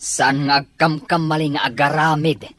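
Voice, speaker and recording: A man with an Ilocano accent playing an irritable, jobless father who is quick to blame, in an audio drama. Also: on the high side, level tone, a bit bright, no room sound, natural voice. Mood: defensive. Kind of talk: Ilocano radio-style drama acting.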